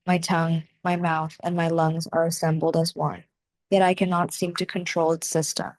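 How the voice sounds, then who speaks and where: Young american woman, lowish voice, slow careful essay voice-over, dry close mic. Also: level tone, no room sound. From United States. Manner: measured, soft-spoken reading with deliberate pauses. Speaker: video essay narrator. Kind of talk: scripted reflective narration.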